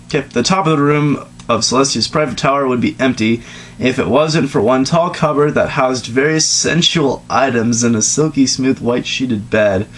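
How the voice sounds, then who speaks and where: A man's voice: mid-range voice, moderate pace, a bit bright. A man giving a dramatic amateur reading of a story, breaking off into giggly, crude asides. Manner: self-interrupting, giggly, crude. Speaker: reader of a comedic fan fiction reading. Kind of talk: dramatic reading of fan fiction with casual joking asides. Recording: noisy home recording with hum.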